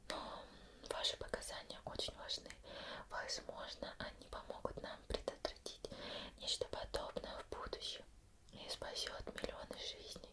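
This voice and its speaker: ASMR roleplay, woman as female investigator: all in whisper, soft-spoken, formal, patient, measured questioning. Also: lightly acted. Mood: gentle, grateful.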